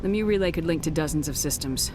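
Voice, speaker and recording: A woman's voice: deep voice, quick pace, music in the background, close to an everyday voice. An actress playing a stern female military commander in a video game, curt, firm and authoritative. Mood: matter-of-fact, firm. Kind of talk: dramatic video game acting.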